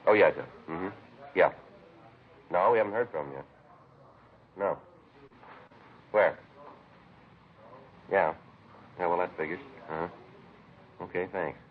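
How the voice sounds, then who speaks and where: An actor playing a terse, businesslike police sergeant in a vintage radio crime drama, with clipped, deadpan delivery. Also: male voice, deep voice, slow, pauses often. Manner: clipped, deadpan, matter-of-fact. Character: terse, businesslike male police sergeant narrating his case. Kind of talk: 1950s radio crime drama acting and narration. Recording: muffled vintage radio recording with music.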